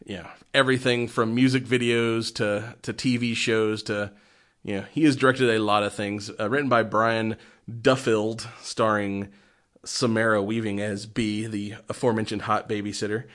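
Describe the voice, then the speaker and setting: A man co-hosting a horror film podcast in casual chat, joking and often laughing as he talks. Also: on the low side. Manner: jokey, laughs often while talking. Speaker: podcast co-host reviewing horror films.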